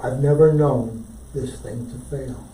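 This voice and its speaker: Man, poetry reader, deliberate short phrases, highish pitch, very dark tone, distant mic. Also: slight background noise. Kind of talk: poetry recitation at a live reading. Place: indoor library poetry reading. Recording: echoey room, distant from mic.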